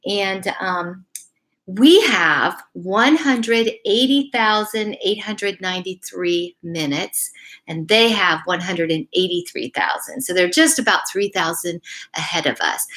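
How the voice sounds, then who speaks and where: A woman's voice: mid-range voice, fairly bright tone. A middle-aged or older woman chatting casually to young children and reading them a bedtime story, encouraging and speaking to them directly. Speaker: elementary school principal or staff storyteller. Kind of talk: casual live chat and children's bedtime story read-aloud.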